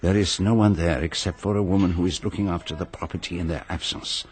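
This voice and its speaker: A man playing a vain, low-voiced detective. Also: steady tone, quick pace, slight background noise, natural voice. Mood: urgent, instructing. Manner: pompous, precise, formal. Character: vain, precise celebrated male private detective. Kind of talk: radio drama acting.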